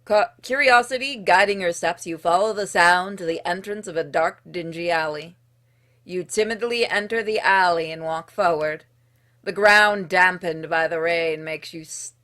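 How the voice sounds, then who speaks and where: Woman, lower-pitched voice, game streamer, expressive storybook-style narration, dry casual asides. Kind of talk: reading visual novel narration aloud with casual asides.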